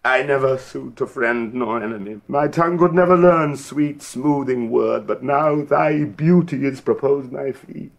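A man's voice: medium pitch, measured pace, creaky, hardly any pauses. An actor playing a scheming, silver-tongued nobleman who fervently woos a widow in theatrical Shakespearean verse. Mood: humble pleading. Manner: theatrical, declamatory, insinuating. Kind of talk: classical Shakespearean stage-style verse acting.

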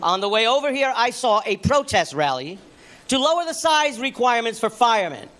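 An older man from New York City doing stand-up comedy, emphatic and animated, performing to a crowd. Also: very high-pitched, fairly quick. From New York City, United States. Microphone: stand microphone on stage. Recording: noisy comedy club with audience.

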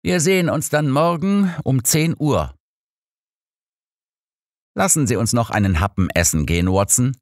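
A man's voice: medium pitch, expressive, measured pace, long pauses, no echo, clean studio sound, voice pushed up. A man voicing an old master detective in an audiobook, composed, inquisitive and matter-of-fact. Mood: brisk, courteous.

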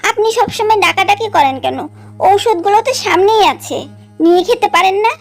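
A haughty young second wife voiced by a woman from a cartoon melodrama. Her voice is extremely high and her manner is curt and insolent, with light music underneath. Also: expressive, brisk. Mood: irritated. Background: background music.

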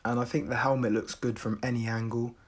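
A man from the UK narrating a casual product review in a measured, deadpan manner. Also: mid-range voice, slightly rough. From United Kingdom.

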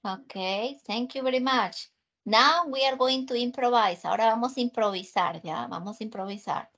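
A woman with a Peruvian Spanish accent teaching an online English class, repeating her instructions slowly and switching to Spanish. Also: a little high, a bit bright. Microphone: video call. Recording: muffled video call audio.

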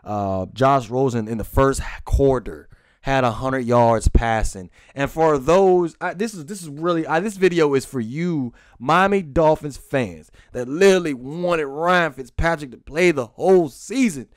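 A man talks through a post-game football recap in an informal, unscripted way. He speaks haltingly and repeats phrases for emphasis, like a fan running his own sports vlog. His voice sits at an average pitch, and the sound is close and dry with very little room echo.

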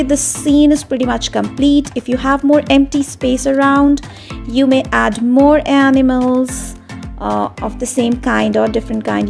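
A woman narrating a step-by-step drawing tutorial for children in an unhurried, explanatory way. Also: on the high side, creaky, darkish tone.

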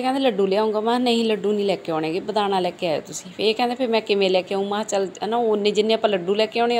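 A woman's voice: mid-range voice, echoey room, a little hiss or hum. A woman in her thirties with a Punjabi accent, chatting casually and teasingly to the camera from her home kitchen, filmed on a phone at arm's length. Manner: chatty and teasing, laughs often. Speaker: family vlogger. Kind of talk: casual vlog chat. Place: home kitchen and hallway, indoors. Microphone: phone held at arm's length.

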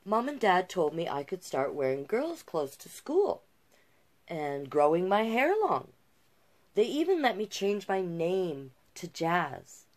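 A woman's voice: lowish voice, big pitch swings, slow. A middle-aged woman hosting storytime, reading a picture book aloud expressively and adding savouring asides of her own. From Canada. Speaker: drag king storytime host. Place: small cluttered indoor room.

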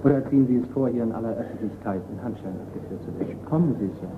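Man playing quick, coolly ironic young sleuth. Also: medium pitch, close to an everyday voice. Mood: coolly triumphant. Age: young adult. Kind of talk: radio crime drama acting. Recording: muffled phone-like radio recording, clear noise.

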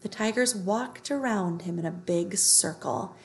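A woman in her thirties reads a children's book aloud as narrator, with expressive, emphatic storytelling. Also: medium pitch, measured pace, a little hiss or hum. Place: living room on a couch, indoors.

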